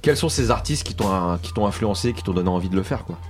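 A man's voice: lowish voice, lively intonation, fast. A man hosting a radio interview in casual, slangy, teasing conversation from a studio. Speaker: radio show host. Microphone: studio microphone with headphones. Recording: loud music under the voice.

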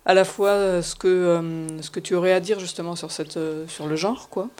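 A man's voice: sits high, steady tone, normal speed, a little mellow, clean tone. A man hosting an informal radio panel, asking roundabout questions with hesitant phrasing. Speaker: panel host. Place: radio-style panel recording. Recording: faint hum and background noise.

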